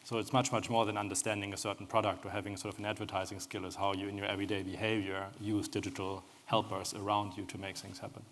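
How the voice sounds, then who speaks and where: German accent guy on a panel, talks fast, bit of a low voice. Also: level tone, a bit bright, a little hiss or hum. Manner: anecdotal, with many restarts. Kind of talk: panel discussion.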